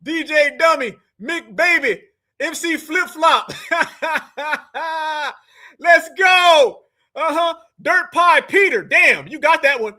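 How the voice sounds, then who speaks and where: A man hosting a live stream, loud and hyped, calling the audience family. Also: very high-pitched, lively intonation, slow pace. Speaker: livestream host. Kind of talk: animated live-stream banter.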